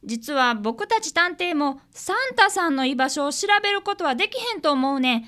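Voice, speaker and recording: A woman's voice: sits high, lively intonation, normal speed, pushed and bright. A woman playing an earnest, polite fifth-grade boy apprentice detective in a radio drama, with a Kansai lilt. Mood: gentle, earnest. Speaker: radio drama actor and narrator. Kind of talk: community radio drama acting.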